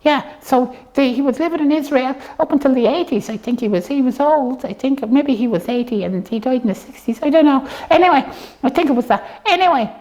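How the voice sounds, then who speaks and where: A woman's voice: high voice, a little fast, few pauses, darkish tone, rough recording. A woman presenting a puppet show in casual comic chat, explaining things in a rambling, hesitant way.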